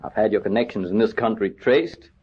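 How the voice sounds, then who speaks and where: An actor playing an earnest, well-meaning prison governor and colonel in an old radio drama, formal and deliberate. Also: male voice, lowish voice, husky. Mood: measured, sincere. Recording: dull old radio recording.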